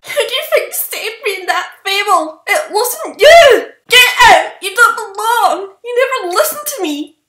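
A woman voicing a sweet, naive young forest girl, girlish and expressive, in a comic fan dub. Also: very high voice, unhurried, few pauses, reverberant, heavily acted. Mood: furious. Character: gentle young magical forest girl, naive, playful, fiery when hurt.

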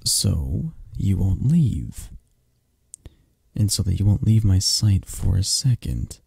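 Man acting a dark, gravelly, possessive mafia boss boyfriend. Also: low-pitched, very animated, no echo, full character voice. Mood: possessive, firm. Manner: unhurried, commanding, coaxing, close and soft. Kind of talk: intimate ASMR romance roleplay.

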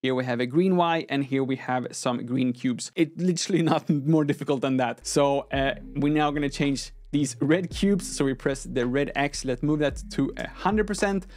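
A young man, a non-native English speaker, hosting a conversational software tutorial with an informal, step-by-step explanatory manner into a close microphone. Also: medium pitch, normal speed, hardly any pauses. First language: not English. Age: young adult. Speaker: tutorial host. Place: small room at a desk, indoors. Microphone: close microphone on an arm.

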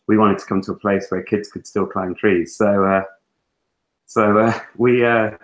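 A man's voice: fairly low pitch, steady tone, unhurried, rough recording. A man in his thirties from England with an English accent, a guest talking casually in a webinar interview, full of fillers and restarts, on an earphone mic in a small room at home. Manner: chatty, lots of fillers and restarts. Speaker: guest teacher and author. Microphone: earphone headset on a video call.

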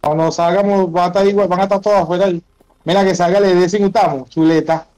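A man's voice: high voice, fairly even intonation, quick pace, a little mellow. A man with a Venezuelan accent chatting casually over a game, slangy and bossy, repeating his instructions to teammates. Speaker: gaming streamer. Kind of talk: casual gaming chat with teammates.

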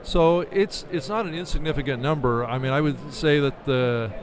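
Higher-pitched middle-aged man, explaining. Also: moderate pace, a crowd in the background. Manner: explanatory, often tags statements with "right?". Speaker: interview guest, podcast business manager. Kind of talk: conversational interview answers. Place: convention expo booth, indoors. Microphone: studio microphone on a desk stand.

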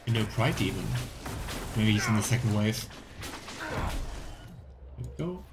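A man's voice: fairly low pitch, lively intonation, unhurried, music in the background. A man in his thirties giving casual game commentary as a streamer, musing aloud to himself. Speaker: let's play streamer. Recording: game ambience noise behind voice. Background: video game ambience.

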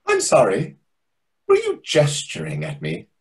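A man's voice: mid-range voice, huge pitch swings, measured pace, husky, exaggerated voice. A man playing a vain, catty, sarcastic waiter of about forty in a readers theatre comedy over a video call. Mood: mocking.

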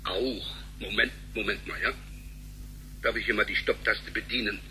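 A pompous, sarcastic office man in a sci-fi radio play. His voice is very bright and forceful, his phrasing florid and indignant, over slight background noise. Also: fairly low pitch, fairly even intonation, normal speed, low-quality recording. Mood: baffled, flustered. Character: wordy, flippant, indignant male bank employee. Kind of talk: German sci-fi radio drama acting.

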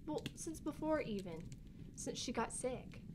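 A woman playing a sensitive, excitable young girl, a grieving little sister, in a family audio drama, with a childlike, openly emotional manner. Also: sits high, unhurried, background noise. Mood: sad, confiding.

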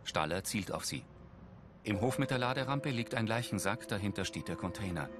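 A man narrates what happens on screen as scripted audio description. His voice is low with lively movement in pitch, and music plays behind him. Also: a little fast.

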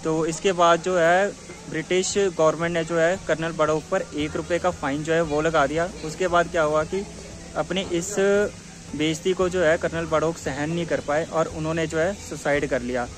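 A man's voice: fairly high pitch, level tone, normal speed. A man vlogging a mountain train journey, chatting like a tour guide and leaning on the same filler phrase. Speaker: travel vlogger. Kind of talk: travel vlog narration. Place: aboard a mountain toy train and on station platforms, outdoors.

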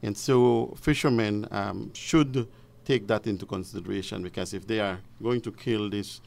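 A man giving formal, measured, halting explanations as an expert guest on radio. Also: fairly low pitch, gravelly, darkish tone. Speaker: fisheries officer, studio guest expert. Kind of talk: explanatory interview answers. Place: radio studio.

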